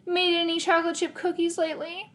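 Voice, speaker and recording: A taunting young girl from a children's storybook, as a woman reader puts her on. Her voice is pitched up and teasing, with a smug, mocking edge aimed at her rival. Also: completely flat delivery, fast talker, fairly bright tone.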